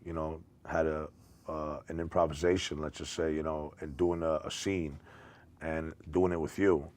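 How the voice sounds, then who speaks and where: Deep-voiced middle-aged man chatting casually. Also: flat delivery, normal speed, darkish tone. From born in Greece, came via London to the United States. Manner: jokey, often punctuates with "you know". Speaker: talk show guest, comedian and writer. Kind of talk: casual interview chat.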